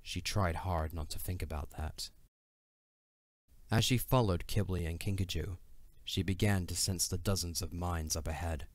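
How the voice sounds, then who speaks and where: Low-voiced man narrating a story. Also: steady tone, moderate pace, dry recording.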